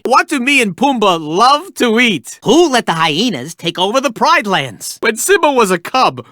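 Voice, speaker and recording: A man's voice: sits high, pushed and bright, dry recording, studio quality, heavily acted. A man voicing a brash, wisecracking cartoon meerkat who hosts a video game quiz with theatrical joking asides. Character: wisecracking, hammy cartoon male meerkat sidekick. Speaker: quiz game host character.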